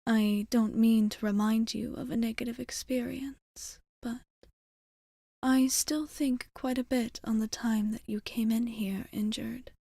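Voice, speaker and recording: A woman playing a tender, old-souled vampire bartender in a whispered ASMR roleplay, speaking softly, deliberately and a little formally, with affection. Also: on the high side, steady tone, no room sound, studio quality. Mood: caring, concerned. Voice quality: soft, breathy whisper.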